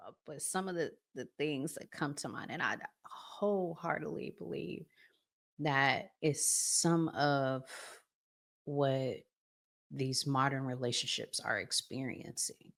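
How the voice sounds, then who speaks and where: A woman hosting a commentary vlog, talking conversationally in deliberate phrases broken into short pieces. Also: extremely low pitch, vocal fry. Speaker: host.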